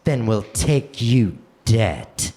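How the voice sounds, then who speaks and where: A man playing an earnest, excitable heroic adventurer in a comedic radio play. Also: on the low side, extremely slow, voice pushed down, exaggerated voice. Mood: urgent. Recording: noisy live theater stage recording.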